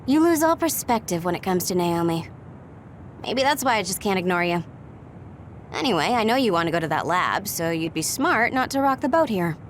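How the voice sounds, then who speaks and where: A woman's voice: fairly low pitch, expressive, normal speed, a little hiss or hum, natural voice. A woman playing a shrewd young soldier in a video game, wry, blunt and matter-of-fact. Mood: calm, wry. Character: shrewd, dry young female tech-savvy soldier. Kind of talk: dramatic video game acting.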